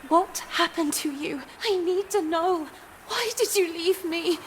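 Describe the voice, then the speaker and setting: High-voiced anxious young orphan girl. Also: unhurried, a bit bright, slight background noise. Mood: pleading. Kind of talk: animated horror adventure game acting.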